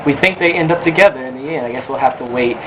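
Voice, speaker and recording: A man with a medium-pitched voice gives a thoughtful interview answer. The recording is a bit dull, with clear noise behind him. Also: rapid-fire. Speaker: cast member being interviewed. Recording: dull lo-fi sound with background noise.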